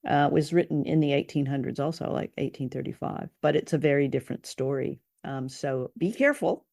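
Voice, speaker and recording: A woman's voice: extremely low pitch, fairly quick, vocal fry, a little mellow, no room sound, clean studio sound. A woman co-hosting a show, chatting casually and appreciatively about stories, with some hesitant phrasing. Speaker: co-host of an old-time radio drama show.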